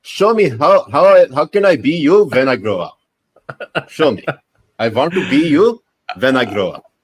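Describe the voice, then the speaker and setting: A man's voice: on the high side, moderate pace, slightly rough, voice pushed up. A man putting on a thick Pakistani accent as an eager, fawning fan who heaps praise on a fit guy. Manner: eager, flattering, sing-song. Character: fawning man gushing over a bodybuilder. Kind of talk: comic bit on a podcast.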